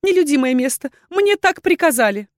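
A desperate wyvern woman from a fantasy audiobook, acted out by a female narrator, pleads in a high, tearful voice. She sobs and exclaims breathlessly, close and dry with no room sound. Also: big pitch swings, brisk, dry recording. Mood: frightened confessing.

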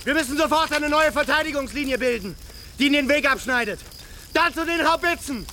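A man's voice: very high voice, a little fast, pushed and bright, a little hiss or hum. An actor playing a male military commander who barks urgent orders in a radio drama. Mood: commanding.

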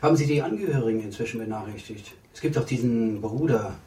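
A man's voice: mid-range voice, fast talker, dark tone, far from the mic, close to an everyday voice. An actor playing a brusque, officious man in charge in a radio crime drama. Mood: impatient, officious. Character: brusque, businesslike man in charge at a death scene. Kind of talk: German radio drama acting. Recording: echoey room, away from the mic.

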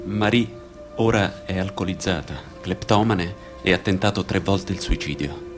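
A man's voice: deep voice, normal speed, music in the background, lightly acted. An actor playing a grave male witness and narrator, reciting testimonies formally and solemnly in a radio theatre style. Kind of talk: scripted radio theatre reading.

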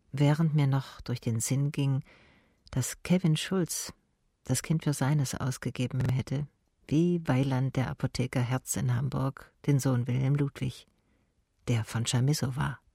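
A woman's voice: a bass voice, fairly even intonation, a little slow, vocal fry, warm tone, breathy edge, no echo, clean studio sound, exaggerated voice. An actress playing a private detective who narrates her own case in a radio play, laconic and matter-of-fact. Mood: cool, reflective. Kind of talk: intimate first-person radio play narration.

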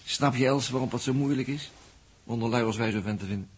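An actor playing an easygoing, wry spaceship mechanic in a 1960s radio drama, musing aloud in a colloquial, halting way. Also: male voice, mid-range voice, lightly acted. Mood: earnest. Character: easygoing, wry male spaceship mechanic, philosophizing and self-mocking. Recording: noisy old 1960s radio drama recording.

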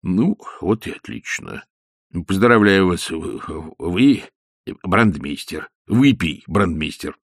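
A man doing a soft-spoken, lordly official. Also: low voice, expressive, slow pace, dry recording, studio quality, voice pushed down, full character voice. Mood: lazy drawl. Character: smug, condescending provincial official, middle-aged man.

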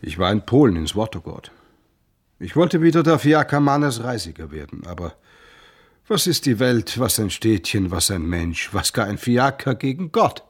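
An actor playing a humble, devout old coachman in a radio drama, telling things in a plaintive, emphatic way with a husky voice. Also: lowish voice, sing-song, normal speed. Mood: sorrowful.

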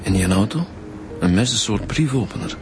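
Man acting a junior cop, colloquial, Flemish accent, slightly low voice, blunt, radio play, clear music behind. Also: animated, normal speed, lightly acted. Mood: impressed. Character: down-to-earth junior police detective, car-struck and blunt.

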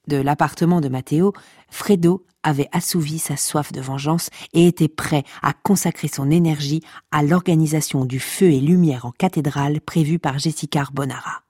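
Wry storyteller, very low pitch, dark relaxed tone, deadpan, sardonic, comic audio fiction. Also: female voice, moderate pace, no echo, studio quality. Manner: deadpan, sardonic, addresses listeners directly. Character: sardonic, teasing female storyteller scolding her listeners. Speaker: narrator. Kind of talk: scripted comic narration, podcast fiction.

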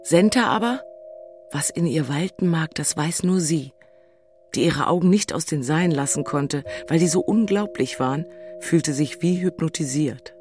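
A woman's voice: low voice, normal speed, a little mellow, soft background music, lightly acted. An actress playing an exalted, insecure single Berlin woman in middle age, in an intimate, hesitant radio drama style. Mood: anxious, exalted. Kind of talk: German radio drama, intimate dialogue and inner monologue.

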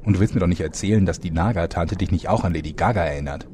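A man's voice: low-pitched, rapid-fire, mellow, a little hiss or hum, close to an everyday voice. A man playing a wry, bossy storyteller, delivering scripted comic narration with dry irony. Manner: dry, ironic.

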